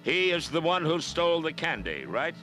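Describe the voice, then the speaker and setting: An actor in an animated series playing an earnest, upright masked cowboy hero with a measured, heroic manner. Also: male voice, on the high side, over music. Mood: firm.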